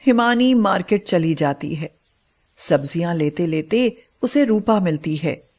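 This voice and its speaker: A woman's voice: fairly low pitch, expressive, measured pace, darkish tone. A woman narrating a scripted moral story in a dramatic storytelling style.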